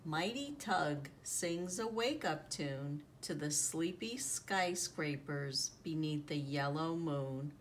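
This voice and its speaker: A woman reading a children's picture book aloud in an expressive, deliberate storytelling manner, drawing out the sound words. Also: low voice. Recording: noticeable background noise, home recording.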